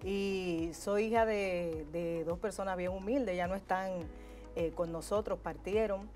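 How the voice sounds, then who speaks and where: A middle-aged Dominican woman, a urologist, chatting warmly as a guest in a TV studio interview. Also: mid-range voice. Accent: Dominican Spanish. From Dominican Republic. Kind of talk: casual interview chat. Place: TV studio set. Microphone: lapel mic.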